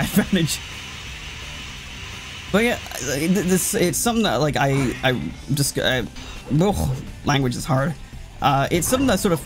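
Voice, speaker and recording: Rambling gamer guy over loud game music. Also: a little high. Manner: rambling, self-interrupting, sweary, reacting to play. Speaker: let's play commentator. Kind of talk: casual gameplay commentary. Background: video game music.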